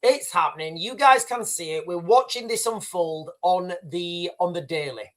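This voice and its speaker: A man's voice: high voice, expressive, moderate pace. A man hosting a livestream, giving loose running commentary over video clips and talking straight to his viewers. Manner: rambling, talks straight to viewers as "guys", frequent restarts and "right". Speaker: livestream host.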